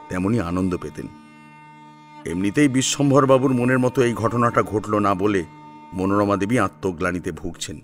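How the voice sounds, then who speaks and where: A man narrating a serial story in a dramatic storytelling style, with music behind him. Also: mid-range voice. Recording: music under the voice. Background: sitar instrumental music.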